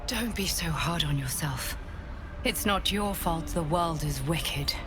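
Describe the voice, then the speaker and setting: An actress playing a weary but gentle female guardian from dreams in a video game, speaking in a measured, earnest way. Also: low voice, music in the background. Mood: gentle, reassuring. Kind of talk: video game cutscene acting.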